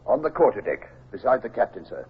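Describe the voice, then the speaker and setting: An actor playing a gruff, loyal, plain-spoken British naval officer giving blunt, earnest testimony in a raspy voice for radio drama. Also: male voice, lowish voice, big pitch swings, fast talker, exaggerated voice. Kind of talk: 1950s radio drama acting. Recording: tinny old radio recording.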